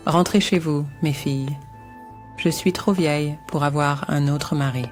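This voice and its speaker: A woman's voice: sits low, steady tone, a little slow, faint music behind. A woman voicing a bitter, grieving older widow with solemn, formal speech in a biblical cartoon. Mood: sorrowful pleading. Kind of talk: animated Bible story voice acting.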